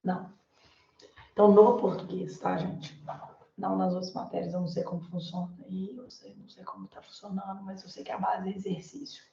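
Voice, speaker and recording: A Brazilian woman lecturing an online class, her voice hoarse and gravelly from illness. She talks in a loose, chatty way with plenty of fillers and tag questions. The sound is echoey and somewhat dull. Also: mid-range voice, little pitch movement, brisk, dark tone, slight background noise. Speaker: Portuguese teacher.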